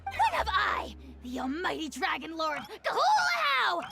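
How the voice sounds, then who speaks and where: Tiny haughty game dragon, scratchy, squawky, extremely high, wildly swooping, bombastic. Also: female voice, wildly swooping pitch, a little slow, gravelly, over loud music, full character voice. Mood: indignant, boastful. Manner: pompous, theatrical. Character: tiny haughty hot-tempered self-proclaimed dragon lord. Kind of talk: video game character acting.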